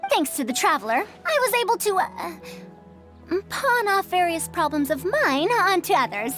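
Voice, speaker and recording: Breezy sly scholar lady in a game, high animated voice, formal and playful, faint music. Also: unhurried, full character voice. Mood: sheepish. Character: breezy, crafty, long-lived female scholar with airy poise. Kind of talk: video game voice acting.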